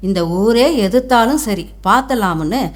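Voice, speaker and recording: A woman's voice: on the high side, animated, moderate pace. A woman storyteller delivering scripted narration for a folktale.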